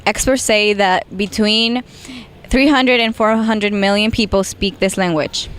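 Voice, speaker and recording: Clear-voiced woman reading a presentation. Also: medium pitch, fairly even intonation, measured pace, a bit bright. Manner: reads a prepared text with small hesitations. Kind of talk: scripted presentation.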